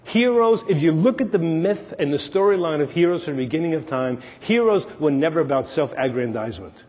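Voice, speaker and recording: A man's voice: a little high, animated, brisk, a little mellow, breathy edge. A man, an analyst giving an emphatic, storytelling talk at a podium on a lapel mic. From Brooklyn, New York. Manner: storytelling, emphatic, gestures with his hands. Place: large dark stage, lecture hall. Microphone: clip-on lapel mic. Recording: lo-fi recording with long hall reverb.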